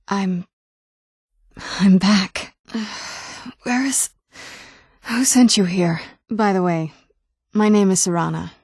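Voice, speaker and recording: A woman's voice: medium pitch, a little mellow, slightly rough, no echo. A woman playing a guarded, wry young-looking vampire noblewoman in a video game, dry and understated. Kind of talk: video game character dialogue.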